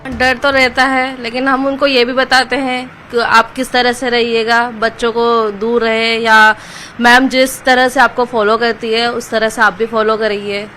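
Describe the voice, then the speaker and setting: A woman's voice: a little high, flat delivery, measured pace, sharp tone, faint music behind. A woman, a parent answering off the cuff in a news interview. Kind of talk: unscripted interview. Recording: location interview with faint ambient noise.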